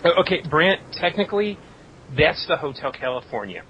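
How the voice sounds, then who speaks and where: A man playing a pedantic, cautious professor in a parody radio drama, husky and halting. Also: on the high side, sing-song, a bit bright, lo-fi sound, slight background noise. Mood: hesitant, fussy.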